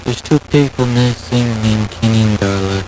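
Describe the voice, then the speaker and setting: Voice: mid-range voice, normal speed, over loud music, close to an everyday voice, rough recording. Someone acting as an emergency broadcast announcer, reporting on missing people in an alert. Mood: urgent.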